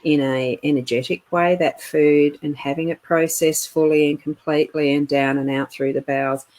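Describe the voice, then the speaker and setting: Co-host, woman, middle-aged, deep-pitched, halting casual talk with restarts. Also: steady tone, darkish tone. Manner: meandering, halting, laughs often. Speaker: co-host of a live spiritual chat stream. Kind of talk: casual live-stream chat.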